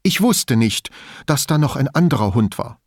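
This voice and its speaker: A man's voice: mid-range voice, big pitch swings, moderate pace, dry recording, clean studio sound, pitched above the performer's own voice. A man voicing an agitated, defensive and spiteful woman in an audiobook, with a theatrical, indignant, emphatic delivery. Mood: defensive, pleading. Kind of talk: audiobook character dialogue.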